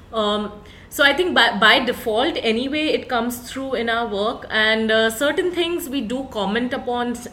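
A woman with a spoken word performer, answering in a measured way with frequent fillers during a live interview. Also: mid-range voice, a bit bright, slight background noise. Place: live event room.

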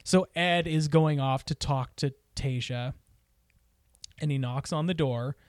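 A man chatting casually about a TV dating show, retelling stories with lots of fillers and quick mimicry of TV hosts. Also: a little high, a little mellow, no room sound, studio quality. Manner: chatty, mimics TV host voices in quotes. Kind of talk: casual chat.

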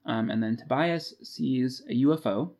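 A man with a mid-pitched voice talks as a podcast co-host. He retells a book in a loose, conversational style. His delivery is informal, with wry little jokes along the way. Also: a little slow, darkish tone. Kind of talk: casual book discussion with plot recap.

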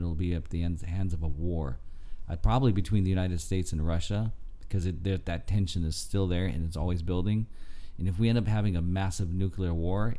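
A man's voice: low-pitched, dark tone. A man in casual chat who talks earnestly, explaining history like a lecture. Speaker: podcast co-host.

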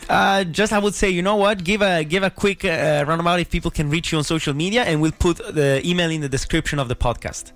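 A man's voice: on the high side, fast talker, hardly any pauses, a bit bright. A man hosting a podcast interview, enthusiastic and encouraging, with philosophical asides. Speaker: podcast host. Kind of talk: casual interview.

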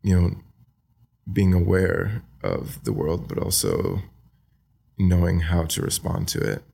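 A young man from Australia with an American accent, talking softly and hesitantly as a podcast guest, with many fillers. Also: deep voice, sing-song, slow, vocal fry, husky. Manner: soft-spoken, hesitant, many ums and likes. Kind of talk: casual interview talk.

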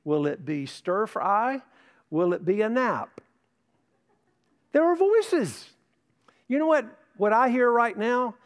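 An older male pastor delivers a sermon in a fairly high voice. He preaches earnestly and emphatically, stacking repeated words as he presses each point on his congregation. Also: sing-song, slow, pauses often. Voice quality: mentions tinnitus, no vocal trait.

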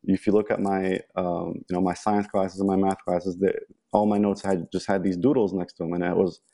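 A man's voice: deep voice, flat delivery, fairly quick, creaky, mellow. A young man from Egypt, a podcast guest talking casually with many ums and restarts. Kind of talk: casual interview talk.

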